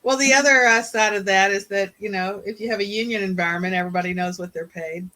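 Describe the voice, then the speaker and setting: A woman's voice: medium pitch, fast talker, bright, forceful tone, poor audio. A woman moderating a panel, putting questions to the speakers in a conversational style.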